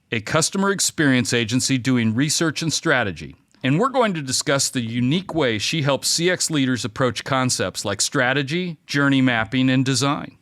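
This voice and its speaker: A man's voice: mid-range voice, a bit bright, dry recording, clean studio sound. A man in his fifties hosting a podcast, reading a polished intro and then chatting with his guest in a friendly way. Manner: friendly, polished host delivery. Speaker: podcast host. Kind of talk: scripted intro, then interview chat.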